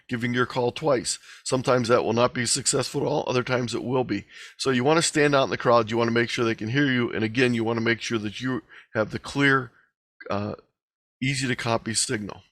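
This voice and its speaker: A man's voice: mid-range voice, fairly bright tone, no echo. A man giving an explanatory online lecture over a video call, plain-spoken and instructional, going step by step. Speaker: instructor, presenter. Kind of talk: explanatory slide lecture, lightly extemporized. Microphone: video call microphone.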